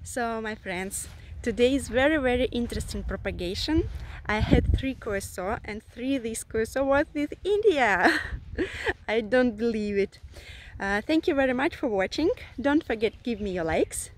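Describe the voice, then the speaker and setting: A woman in her thirties with a Russian accent, talking in simple, halting English as a vlogger and amateur radio operator. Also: a little high, expressive. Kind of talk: vlog talk and radio contacts. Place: outdoors on an open sea beach. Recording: faint outdoor ambient noise.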